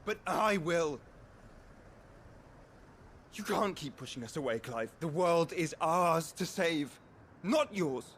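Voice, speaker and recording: Slow, intense, lighter-voiced young man. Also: fairly high pitch, animated, fairly bright tone, slight background noise. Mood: hurt, subdued. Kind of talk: cinematic video game acting.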